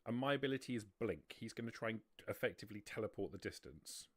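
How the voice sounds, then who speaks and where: A man's voice: fairly low pitch, expressive, quick pace, dry recording, clean studio sound. A man running a tabletop roleplaying game, casually narrating and talking through rules as game master.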